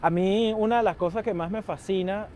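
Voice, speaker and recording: High voiced guy hosting a chat show outside, friendly, faint traffic noise. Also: a little mellow. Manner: friendly, talkative, quick to joke. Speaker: host. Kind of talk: casual interview. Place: rooftop in Brooklyn, outdoors.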